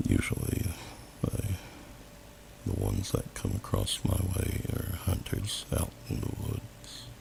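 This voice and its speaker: Deep, gravelly old dragon. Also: male voice, big pitch swings, exaggerated voice. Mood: calm, musing. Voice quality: very deep, gravelly, breathy growl. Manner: slow, pensive, archaic formal phrasing. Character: gentle, wise old male dragon, welcoming host. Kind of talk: ASMR fantasy roleplay. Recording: noisy amateur home recording.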